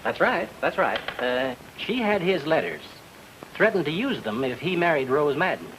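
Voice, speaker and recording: An actor playing a hesitant, obliging small-town investigator who repeats himself in an old radio drama. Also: male voice, on the high side, big pitch swings, normal speed. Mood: informative. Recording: noisy old radio recording.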